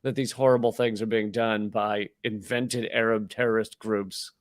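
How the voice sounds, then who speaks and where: A man's voice: fairly low pitch, level tone, moderate pace, no room sound, clean studio sound. A man co-hosting a comedy podcast, rambling in a wry tone and laughing between lines. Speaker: podcast co-host. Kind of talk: casual comedy chat.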